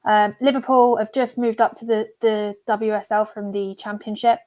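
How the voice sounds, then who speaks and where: A woman's voice: mid-range voice, flat delivery, moderate pace, a little mellow. A woman co-hosting a casual stream chat, upbeat as she leads the segment and asks questions. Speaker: co-host.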